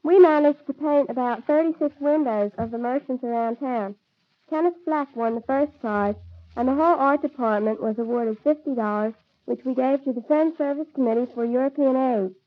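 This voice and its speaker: Muffled old 40s radio, american teen girl w high voice reading a school report. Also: normal speed. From United States. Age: teenager. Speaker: student reporter. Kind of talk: scripted 1940s radio report. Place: live radio broadcast. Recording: dull lo-fi 1940s radio recording.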